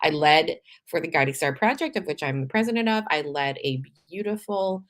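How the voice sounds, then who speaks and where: A woman from Canada hosting a live show, talking in a chatty, animated way with frequent laughs and restarts, her voice a little tired from days of talking. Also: low voice, fairly quick. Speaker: podcast host. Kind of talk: casual live-stream monologue.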